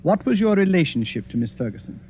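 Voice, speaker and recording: An actor playing a proud, egotistic detective who speaks in a boastful, commanding way, in 1940s radio acting style. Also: male voice, sits high, very animated, fast, a little hiss or hum. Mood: interrogating. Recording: tinny phone-like old radio recording with music.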